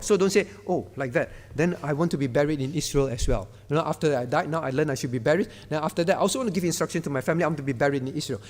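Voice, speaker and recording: A male pastor, preaching a sermon in a conversational way and often turning to the congregation. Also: high voice. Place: church hall. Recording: reverberant church hall.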